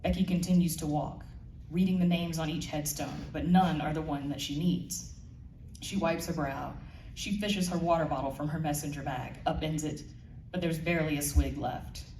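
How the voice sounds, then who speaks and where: Low-voiced woman reading in an echoey room. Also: steady tone, normal speed, dark tone. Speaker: author reading her audio drama script. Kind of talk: scripted narration, live reading. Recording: echoey room, distant mic, faint noise.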